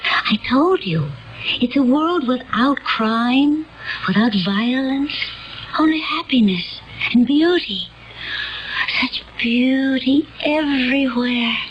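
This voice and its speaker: An actress playing a gentle, naive alien woman from a utopian world, earnest and solemn in a dramatic radio play style. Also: high-pitched, slight background noise. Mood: wistful, solemn. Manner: earnest, dreamy, solemn, deliberate. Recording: dull old radio recording with music behind.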